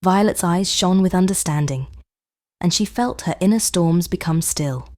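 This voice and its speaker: A woman narrating a children's bedtime story in a gentle, measured, expressive read-aloud style. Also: lowish voice, no echo, clean studio sound.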